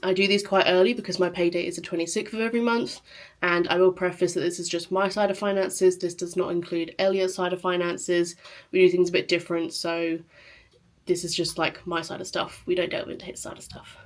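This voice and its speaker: A woman with a sore throat and a scratchy, quiet voice, chatting casually to camera in a rambling vlog. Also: lowish voice, flat delivery, fairly quick. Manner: chatty, rambling, lots of fillers. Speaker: lifestyle vlogger.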